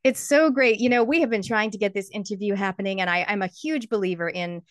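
A woman's voice: mid-range voice, fast talker, no echo, studio quality. A woman hosting a podcast interview in an upbeat, enthusiastic way, from New Zealand. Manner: bubbly and enthusiastic. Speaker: podcast host.

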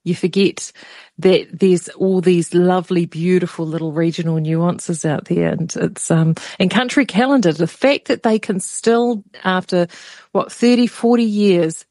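A woman with a New Zealand accent hosting a relaxed conversation, talking reflectively with casual asides. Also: fairly low pitch, a little slow, dry recording, studio quality. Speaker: radio podcast host.

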